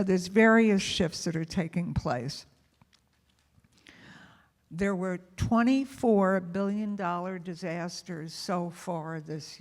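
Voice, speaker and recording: A woman moderating a conference session, summarizing in a conversational way with many "you know" fillers, speaking in a conference room. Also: lowish voice. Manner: says she has a loud voice.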